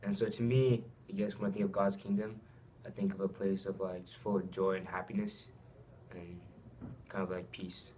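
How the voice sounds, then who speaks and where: A young man from Saipan who grew up in Japan answers questions in a very low, even voice. He hesitates often and fills gaps with ums and likes. Faint noise hums behind him. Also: moderate pace. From Saipan, raised in Japan. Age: young adult. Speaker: student athlete interviewee. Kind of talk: casual interview answers.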